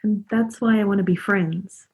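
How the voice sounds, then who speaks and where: A woman's voice: mid-range voice, steady tone, normal speed, a little mellow, husky, close to an everyday voice. A woman acting a chatty, cheeky, friendly character in a radio play, speaking informally and forthrightly. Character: Laura, chatty, cheeky, friendly young woman befriending Death.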